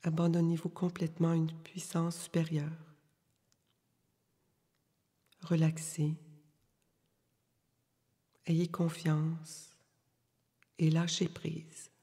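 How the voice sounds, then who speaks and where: A woman guides a relaxation meditation in a long, washy reverb. Her delivery is very slow and calm, with long pauses between instructions. The voice is soft and breathy, staying on an even, level line. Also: low-pitched. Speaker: yoga nidra meditation guide. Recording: long reverberant hall-like echo.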